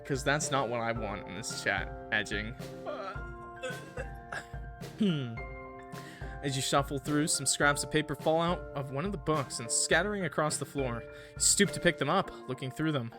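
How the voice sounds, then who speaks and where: Male game streamer, mid-pitched, expressive read-aloud with joking casual asides, music underneath. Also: moderate pace. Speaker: gaming streamer. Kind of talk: reading a visual novel aloud with casual reactions. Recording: music behind the voice.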